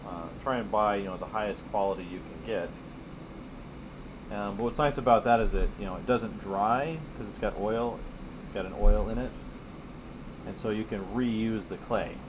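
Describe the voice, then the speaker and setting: A man's voice: on the low side, normal speed, noisy background. A man teaching art on a live stream, chatting casually and wandering off topic while he draws. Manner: chatty and digressive, with many fillers. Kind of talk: casual rambling chat while drawing.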